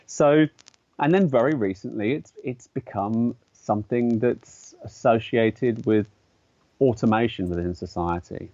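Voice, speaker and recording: A man's voice: mid-range voice, a little slow, warm tone, dry recording, highs cut off. A man, an artist and educator, speaking as a guest in a conversational interview, thoughtful and hesitant, with many fillers and restarts. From English-speaking country. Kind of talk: conversational interview explaining ideas.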